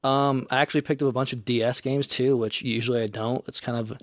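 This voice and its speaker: A man's voice: medium pitch, quick pace, no echo, clean studio sound. Laid-back man rambling on a podcast. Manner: relaxed, rambling, casual swearing. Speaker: podcast co-host. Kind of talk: casual chat.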